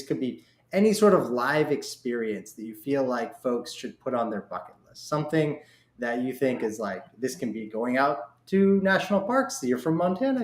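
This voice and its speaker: A man's voice: medium pitch, darkish tone. American male podcast host, echoey room. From Oregon, United States. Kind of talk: casual interview chat. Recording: echoey, roomy sound.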